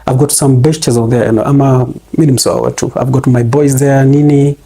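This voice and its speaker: Husky, dark-voiced Kenyan man. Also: mid-range voice, fairly even intonation, brisk. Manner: rambling, hesitant, full of fillers. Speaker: interview guest. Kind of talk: casual interview.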